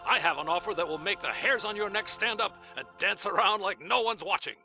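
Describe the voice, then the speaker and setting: A man's voice: high-pitched, fairly quick, over loud music, lightly acted. A male actor playing a brash, goofy, self-promoting arena commentator in a video game, with bombastic, cocky showman's patter. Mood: cocky, excited. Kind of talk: showy sports broadcast patter.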